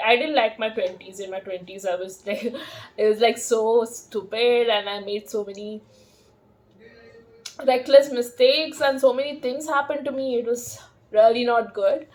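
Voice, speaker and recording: A woman in her thirties talks slowly to camera at home. Her voice sits a little high, and she rambles in a relaxed, meandering way, with lots of fillers and soft laughs. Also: low-quality recording. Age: 30s. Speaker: vlogger. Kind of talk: casual chat to camera.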